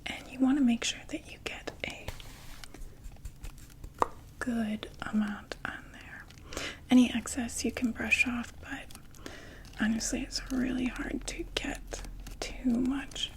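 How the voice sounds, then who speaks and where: A chatty undead vampire saleswoman, played by a young woman, breathes her pitch in a close, hushed whisper. Her voice sits a little high, and she keeps an easygoing sales patter full of little fillers. The whole thing is an ASMR roleplay. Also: fairly even intonation, slow pace, creaky, pauses often, poor audio. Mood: soothing.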